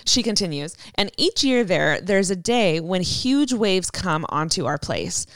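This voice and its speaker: A woman's voice: lowish voice, measured pace, no room sound, studio quality. Laughing young woman reading a listener story. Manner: laughs often, animated reading. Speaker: podcast co-host. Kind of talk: casual chat, reading a listener story aloud.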